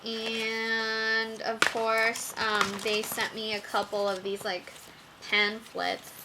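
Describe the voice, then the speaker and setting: A young woman reviewing makeup brushes in a casual vlog, talking slowly with many ums while her voice wears out. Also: on the high side, completely flat delivery, very noisy. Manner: slow, with many ums and fillers. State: losing her voice. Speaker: beauty vlogger.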